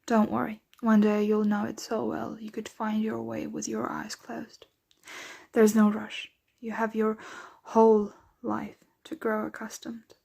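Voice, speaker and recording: A woman playing a centuries-old, doting, aristocratic vampire mistress in an ASMR roleplay, speaking softly, tenderly and without hurry, often slipping into whispers. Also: a little high, creaky, breathy edge, heavily acted. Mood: gracious, welcoming. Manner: soft, unhurried, tender, often drifting into whispers.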